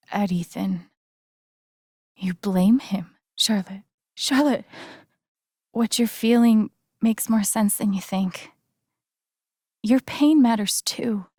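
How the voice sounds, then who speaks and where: A woman voicing an earnest, gentle young game heroine with a wry playful side, in a casual, conversational way. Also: mid-range voice, no echo, studio quality. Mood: earnest, comforting. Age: young adult. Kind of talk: naturalistic video game voice acting.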